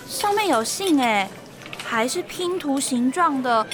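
A woman with a Taiwanese Mandarin accent playing an excitable, gushing young friend and playful amateur sleuth in a podcast radio drama. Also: high-pitched, measured pace, a crowd in the background. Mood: playful, curious. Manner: gushing, eager.

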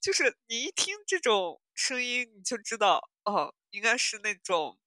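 A woman podcast host with a bright voice of middling pitch chats like a smitten fan. Her delivery swings widely and is emphatic and swooning. The recording is dry. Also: very animated, normal speed, no echo. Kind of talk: casual fan chat about audio dramas.

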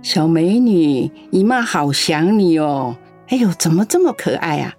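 A woman's voice: lowish voice, lively intonation, unhurried, darkish tone, faint music behind. An actress playing a lonely, doting elderly grandmother in a radio drama. She talks in a coaxing, chatty way and coughs now and then. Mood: affectionate. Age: older.

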